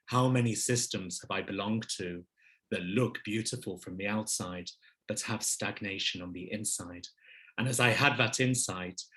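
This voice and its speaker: Low-voiced middle-aged man telling his story. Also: a bit bright. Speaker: podcast guest, business leader. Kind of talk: polished interview storytelling.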